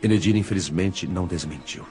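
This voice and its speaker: A Brazilian man playing the sorrowful, self-pitying spirit of a betrayed husband in an audiobook drama, speaking deliberately and in literary phrases. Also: sits low, darkish tone, lightly acted. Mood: mournful resignation. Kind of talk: dramatized audiobook dialogue, confessional. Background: music.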